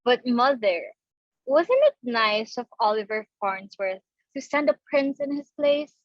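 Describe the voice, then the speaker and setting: Woman playing high-pitched, girlish society daughter, muffled sound. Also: big pitch swings, unhurried, dry recording. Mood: sweet, hopeful. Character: naive, sweet young society daughter. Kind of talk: dramatic reading of a stage comedy.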